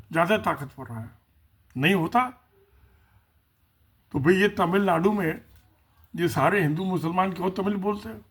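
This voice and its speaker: A man's voice: high voice, fairly bright tone, breathy edge. A man giving casual spoken political commentary, musing and repeating himself, asking rhetorical questions.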